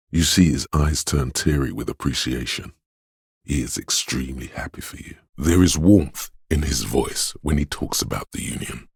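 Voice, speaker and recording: A man voicing a sensitive inner voice in a video game, narrating other people's feelings in a measured, gentle, literary way. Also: rumbling low, vocal fry, husky, no room sound, clean studio sound, full character voice. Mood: compassionate.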